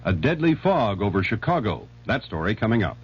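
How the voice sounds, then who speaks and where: A man's voice: fairly low pitch, very animated, a little fast. A man with an American accent anchoring a scripted network radio news bulletin. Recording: dull, noisy, humming vintage radio recording.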